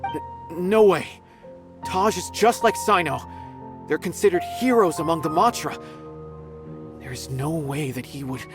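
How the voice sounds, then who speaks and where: A man's voice: on the high side, a little slow, long pauses, music in the background, close to an everyday voice. A man voicing an earnest, loyal young male guard in a game, firm when questioning. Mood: disbelieving. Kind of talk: game dialogue. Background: game soundtrack.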